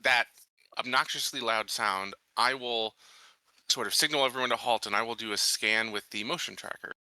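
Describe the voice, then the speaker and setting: A man's voice: mid-range voice, moderate pace, pushed and bright, no echo, low-quality recording. A man talking casually as a player in a tabletop roleplaying game, planning out moves.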